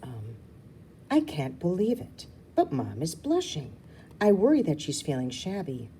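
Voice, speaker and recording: Female storybook reader, low and mellow, informal, lively intonation, small slips left in. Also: unhurried, slight background noise. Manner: stumbles and corrects herself. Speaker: reader of a children's novel. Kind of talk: storybook read-aloud, informal.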